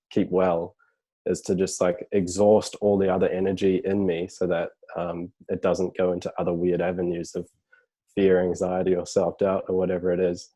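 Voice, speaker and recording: A man chatting casually as a podcast guest over a video call, relaxed and rambling with fillers. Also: sits low. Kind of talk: casual chat.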